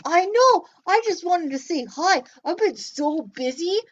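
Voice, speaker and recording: A woman voicing Joe, a cheerful young boy puppet who talks in an eager, excited way. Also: very high voice, a little slow, highs cut off, voice pushed up.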